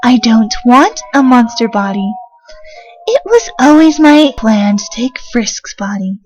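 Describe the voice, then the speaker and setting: A woman's voice: on the high side, big pitch swings, a little slow, muffled recording, soft background music. A woman voicing a cruel, possessing demon child with a mocking, sinister manner in an amateur comic dub. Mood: scornful. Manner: taunting, sinister.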